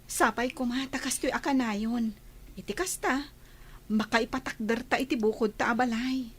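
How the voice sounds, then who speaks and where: An actress with a Filipino accent playing a supportive, caring young wife in a radio drama. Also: female voice, a little high, normal speed, breathy edge. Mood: hopeful.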